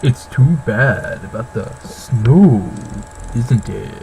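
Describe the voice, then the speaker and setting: Brooding teen romantic lead acted by a man, very slow and measured, curious, slight noise on an amateur call recording. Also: medium pitch, animated, gravelly, a little mellow, poor audio. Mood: probing. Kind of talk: acting dialogue in an amateur novel read-along. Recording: faint noise on an online call.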